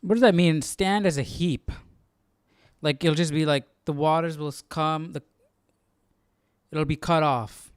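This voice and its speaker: A man's voice: a little high, steady tone, unhurried, long pauses, clean tone, dry recording, clean studio sound. A man hosting a Bible study, explaining casually and posing questions as he goes. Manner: explanatory, asks himself questions. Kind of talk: casual live study commentary.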